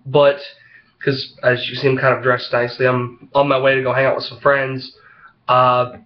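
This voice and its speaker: A congested man with a head cold talks into the camera. His voice is slightly froggy, and his delivery halts and rambles with plenty of "uh"s and "you know"s. His pitch sits in the middle of the male range, and the recording sounds a little muffled. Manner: halting, rambling, heavy on fillers. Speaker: vlogger. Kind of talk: casual opinion vlog talking to camera.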